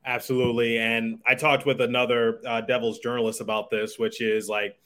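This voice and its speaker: A man's voice: medium pitch, flat delivery, brisk, a bit bright. A man hosting a sports podcast, talking conversationally about hockey with his guest. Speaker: podcast host, sports journalist.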